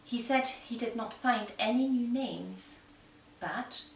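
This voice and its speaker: A woman narrating a fairy tale for small children in a gentle, deliberate way, recorded in an echoey room. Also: on the high side, creaky. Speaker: puppet-show storyteller. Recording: echoey room, distant microphone.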